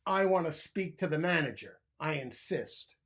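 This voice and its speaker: A man's voice: a little high, normal speed. A man playing Bruce, a grumpy fed-up bear. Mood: indignant. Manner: loud, growling complaints. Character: grumpy grouchy male bear, big and fed up.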